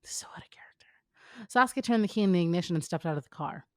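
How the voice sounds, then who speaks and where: A woman's voice: lowish voice, animated, fast talker, no echo, studio quality. A woman co-hosting a casual comedic fan fiction read-aloud, slangy and teasing, often breaking into giggles.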